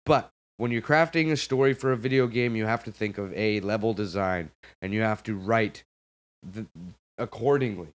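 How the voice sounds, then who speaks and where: A man co-hosting a gaming podcast in casual banter, blunt, opinionated and quick to swear. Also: fairly low pitch.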